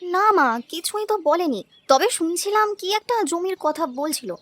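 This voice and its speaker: A woman's voice: very high-pitched, animated, normal speed, fairly bright tone, no echo. A woman playing a caring young wife and daughter-in-law in a cartoon, sweet and pleading. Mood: eager, gentle. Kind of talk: cartoon voice acting, domestic moral story.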